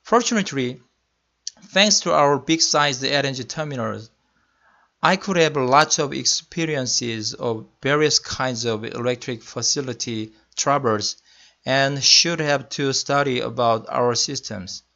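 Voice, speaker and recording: A man with a Korean accent, a senior electrical engineering manager, giving a slide-presentation lecture in careful, deliberate non-native English. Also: mid-range voice, vocal fry, sharp tone. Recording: muffled lo-fi voice-over.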